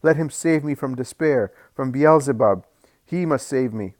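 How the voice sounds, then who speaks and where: A man reading a storybook, voicing a grieving mother shouting in despair at a crowd. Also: medium pitch, unhurried, dry recording, pitched above the performer's own voice, lightly acted.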